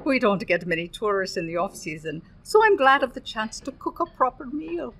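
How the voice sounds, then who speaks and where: A woman's voice: a little high, lively intonation, moderate pace, fairly bright tone. A woman playing a motherly, superstitious Irish innkeeper in a radio play, folksy and emphatic, with an Irish accent. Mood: hospitable, chatty. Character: homely, superstitious Irish woman innkeeper, hospitable but guarded.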